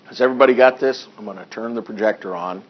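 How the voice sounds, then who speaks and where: A hoarse male accounting instructor in a classroom lecture, reading figures deliberately and adding dry asides. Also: lowish voice, highs cut off. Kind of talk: classroom lecture working through problems.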